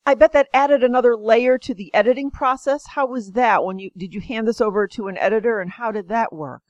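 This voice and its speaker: A woman's voice: fairly high pitch, brisk, no room sound, highs cut off. A woman hosting an interview, enthusiastic and encouraging as she asks questions. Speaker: podcast host.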